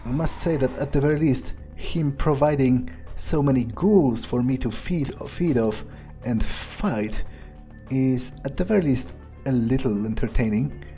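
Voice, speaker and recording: A man's voice: mid-range voice, measured pace, warm tone. A man giving in-character video game commentary, thinking aloud in a hesitant, musing way with dry understatement. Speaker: video game player role-playing his character. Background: faint music.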